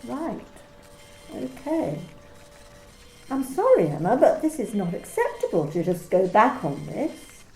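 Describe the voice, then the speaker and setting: A woman's voice: a little high, big pitch swings, measured pace, dark tone, echoey room, rough recording. A woman playing a cold, controlling company manager in an amateur radio play, speaking in a clipped, coolly formal way. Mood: stern. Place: home during lockdown.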